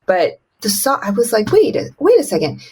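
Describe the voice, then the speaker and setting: A woman's voice: a little high, wildly swooping pitch, moderate pace, darkish tone. A woman in her thirties, raised in China with Mandarin as her first language, telling her life story as a podcast guest, acting out quotes with big jumps in her voice.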